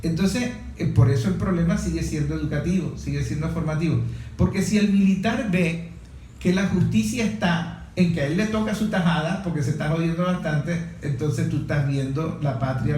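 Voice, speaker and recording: A man's voice: a little high, warm tone. A man interviewing in a conversational political discussion, colloquial and probing, arguing through rhetorical questions. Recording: very echoey, reverberant room.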